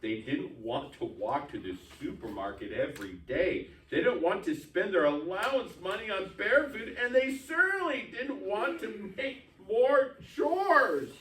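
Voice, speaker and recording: A man's voice: high voice, sing-song, a little slow, hardly any pauses, a bit bright. Man teacher coaching reading, echoey and distant. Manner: coaching, prompting readers by turn. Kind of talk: leading a readers theatre reading lesson. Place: indoor room with children reading aloud. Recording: roomy echo, distant mic, faint noise.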